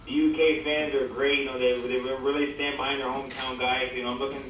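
A man's voice: mid-range voice, level tone, fairly quick, fairly bright tone. A man casually answering press questions on a conference call through a speakerphone. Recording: very echoey speakerphone call, distant, faint noise.

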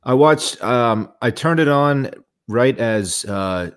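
A young man co-hosting a casual podcast from his living room, upbeat and chummy, often clearing his throat. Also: mid-range voice, lively intonation, slow pace. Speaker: podcast co-host. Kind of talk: casual chat. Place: home living room, indoors.

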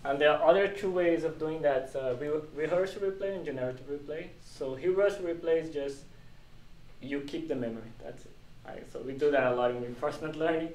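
A man's voice: on the high side, brisk, a little mellow. A man informally explaining technical material to a small group as a meetup presenter. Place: small glass-walled meeting room. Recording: echoey meeting room, distant mic, faint noise.

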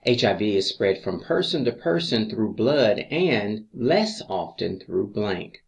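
A man reading scripted exam review questions as an instructor, deliberately, pausing between each answer choice. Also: fairly low pitch, big pitch swings, gravelly.

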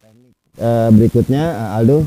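A man in his forties, a karate instructor, explaining techniques in an easygoing way with frequent filler pauses. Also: medium pitch, dark tone, poor audio. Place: outdoor café courtyard at night.

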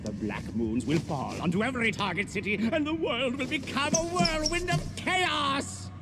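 High, fanatical villain henchman. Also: sing-song, moderate pace, very noisy. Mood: ominous exultation. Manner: grandiose, feverish proclaiming. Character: fanatical male henchman, theatrical, worshipful of his dark lord. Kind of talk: dramatic video game voice acting.

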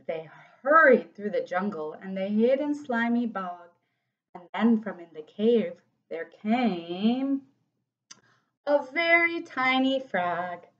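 A woman's voice: medium pitch, animated, slow pace, a bit bright. A woman in her thirties with an American accent, reading a children's storybook aloud expressively. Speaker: city council member reading to children. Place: home office, indoors. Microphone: computer microphone at desk distance. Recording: slightly dull webcam audio.

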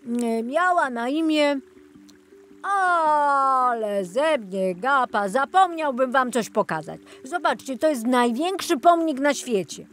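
A woman's voice: sits high, lively intonation, slow, fairly bright tone, faint music behind, pitched above the performer's own voice, exaggerated voice. A woman voicing a jolly, absent-minded older male tour guide in a children's storybook, rambling and enthusiastic. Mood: chatty, proud.

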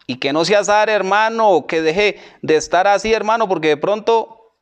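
A Colombian man with a high-pitched voice talks through a barbering tutorial. He speaks in loose street slang, repeating little phrases and addressing the listener as a buddy. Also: moderate pace, clean tone. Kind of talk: casual hands-on tutorial narration.

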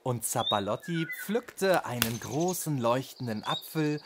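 A man narrating a children's bedtime audio story in a gentle storytelling manner. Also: medium pitch, lively intonation, a little slow, noisy background.

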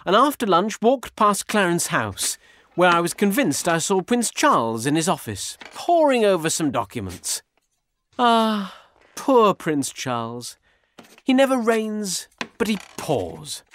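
A man plays a chatty diarist recounting his week. The manner is earnest and confiding, in a comic monologue style, with a very mobile pitch. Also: fairly bright tone, heavily acted.

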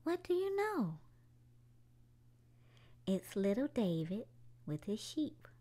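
Slow, animated woman reading a children's story. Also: mid-range voice. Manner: expressive, addressing young children. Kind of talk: children's picture book read-aloud.

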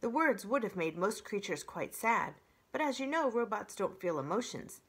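A woman in her forties reading a children's book aloud as an expressive storyteller. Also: mid-range voice, moderate pace, slightly rough.

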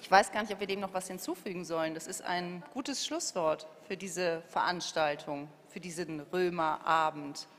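A woman's voice: lowish voice, moderate pace, few pauses, a bit bright. A woman in her forties moderates a literary evening with composed, articulate phrasing. She speaks into a handheld mic. Her voice carries through a reverberant hall. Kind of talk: onstage interview and event closing. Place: large hall with audience.

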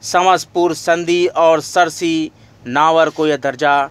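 A man's voice: a little high, steady tone, slow, smooth. Man reading exam study notes aloud. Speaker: exam-prep lecturer.

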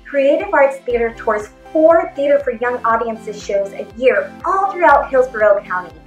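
A woman in her thirties giving an encouraging, animated lesson for children as a theatre performer. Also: on the high side, normal speed, lo-fi sound. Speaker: theatre performer teaching character voices. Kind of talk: instructional video for children. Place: small indoor room. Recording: echoey room, faint music.

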